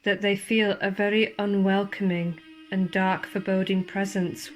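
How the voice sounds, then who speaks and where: A woman narrates a ghost story in a theatrical, suspenseful manner, with deliberate pauses and formal, old-fashioned wording. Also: mid-range voice, level tone, faint music behind, poor audio. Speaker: ghost walk guide and storyteller. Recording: clear background ambience under the voice. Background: spooky horror ambience sounds.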